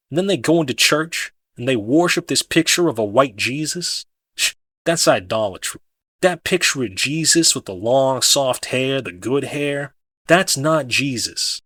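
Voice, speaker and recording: A man acting a bright-voiced, fervent street preacher. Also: medium pitch, no echo. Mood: insistent, indignant. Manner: colloquial, emphatic, instructive, with rhetorical questions. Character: fervent street-preaching conspiracy theorist man, folksy and insistent. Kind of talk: dramatic audiobook monologue.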